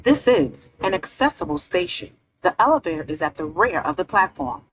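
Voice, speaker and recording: A woman reading recorded subway announcements in a calm, clear, informational manner. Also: fairly low pitch, brisk. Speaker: transit announcer. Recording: slightly muffled, faint ambience.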